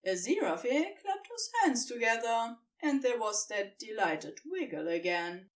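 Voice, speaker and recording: A woman reading scripted fan fiction narration in an expressive storytelling manner. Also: on the high side, unhurried, distant mic.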